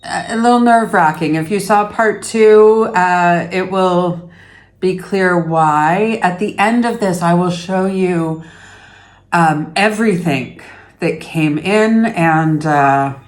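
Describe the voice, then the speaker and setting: A woman's voice: fairly low pitch, slow. A middle-aged woman from Canada hosting a yarn vlog from her dining room at home, chatting casually to the camera in a rambling, self-deprecating way with many fillers. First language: English. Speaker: yarn vlogger host.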